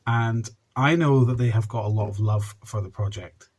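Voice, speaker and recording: A man's voice: lowish voice, moderate pace, darkish tone. A man earnestly introducing a storytelling podcast as its host. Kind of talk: presenter introduction to camera or audience.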